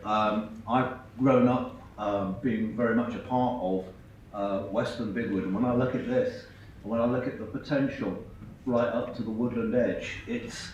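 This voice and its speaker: Man, hoarse from a cold, earnest, halting presenter, far from the mic, very echoey hall, muffled sound. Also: mid-range voice, a little hiss or hum. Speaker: invited speaker at a public meeting. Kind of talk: public presentation with slides. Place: large echoey meeting hall. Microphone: far from the microphone.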